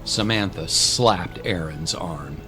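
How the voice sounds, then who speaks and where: A male narrator with a fairly low voice tells a scripted story. Music plays clearly beneath his narration. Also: takes it slowly.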